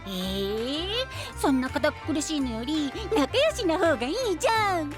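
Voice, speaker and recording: High-voiced, excitable boyish knight. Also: male voice, measured pace, over music. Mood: cheerful, curious. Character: boyish, excitable, easily flustered young male knight. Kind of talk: anime-style voice drama.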